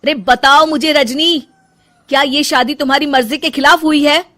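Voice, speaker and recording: A woman's voice: high voice, steady tone, normal speed, bright, forceful tone, voice pushed up. A woman voicing a caring young husband in a cartoon story, coaxing and pleading.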